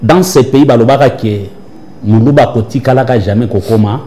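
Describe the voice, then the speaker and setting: A man's voice: medium pitch, moderate pace, mellow. A man, a church prophet answering interview questions with an emphatic, preaching cadence. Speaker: prophet and church leader, guest.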